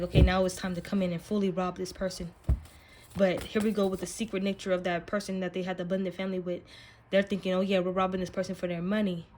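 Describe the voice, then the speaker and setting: A woman's voice: on the low side, darkish tone, a little hiss or hum. A woman giving a casual spiritual card reading, speaking directly to the listener in an emphatic, slangy, profane way. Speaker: tarot card reader, channel host.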